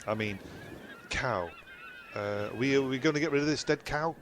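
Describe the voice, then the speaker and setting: A man plays a dim, blunt castaway in an audio comedy. He mutters hesitantly at an average male pitch, with noisy outdoor ambience and honking geese behind him. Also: normal speed, natural voice. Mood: hungry, awkward.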